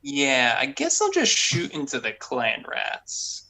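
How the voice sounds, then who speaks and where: Creaky, bright-voiced male gamer. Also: medium pitch, huge pitch swings, slow pace. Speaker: tabletop game player. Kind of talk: casual game-table chat.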